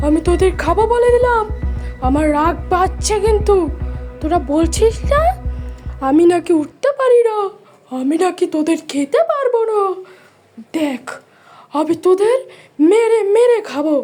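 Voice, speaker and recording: A woman's voice: very high-pitched, animated, normal speed, long pauses, warm tone, faint music behind. A woman voicing a menacing, taunting witch ghost who devours travellers in a cartoon story. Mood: angry, taunting. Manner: threatening, taunting, scolding.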